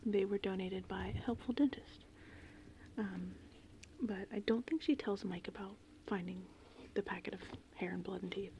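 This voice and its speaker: A woman doing casual, meandering ASMR in a breathy, whispered and softly spoken voice. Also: medium pitch, moderate pace, slight background noise, low-quality recording. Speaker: ASMR host. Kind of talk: ASMR rambling movie summary. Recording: steady rain and thunder ambience behind. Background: gentle rain and thunderstorm sounds.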